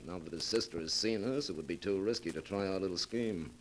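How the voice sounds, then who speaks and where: An actor playing a scheming fugitive husband in a 1940s radio drama, brisk and placating. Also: male voice, sits low, slight background noise, natural voice.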